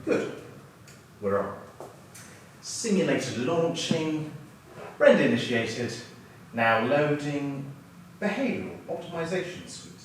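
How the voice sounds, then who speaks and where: Male actor as officious computer announcer, slow delivery, higher-pitched, deadpan, distant in a noisy room. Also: exaggerated voice. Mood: flat, officious. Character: deadpan, officious simulator computer system named Brenda. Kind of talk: staged reading, robotic system announcements. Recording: echoey room, distant mic, background noise.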